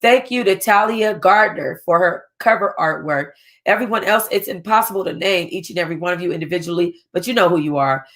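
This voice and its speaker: A woman's voice: fairly low pitch, a little fast, a bit bright. A woman book club host reading a written text aloud.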